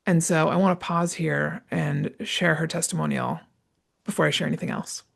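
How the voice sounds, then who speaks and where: A woman host delivering a solo podcast monologue, her voice heavily gravelly with strong fry. She talks conversationally and reflectively, tossing in tag questions and fillers. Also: deep voice, fairly even intonation, moderate pace. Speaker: podcast host and retreat organizer.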